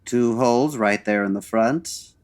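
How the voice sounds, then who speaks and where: An actor playing a suave, unflappable gentleman detective in an old-time radio parody, speaking in a measured, formal, dryly amused way. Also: medium pitch, natural voice. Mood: probing.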